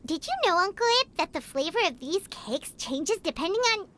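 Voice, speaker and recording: Squeaky, childlike fairy companion. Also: female voice, lively intonation, normal speed, fairly bright tone. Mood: friendly, chatty. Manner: refers to self in third person, bubbly, eager. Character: small chirpy childlike fairy companion, cheerful and talkative. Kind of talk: video game voice acting.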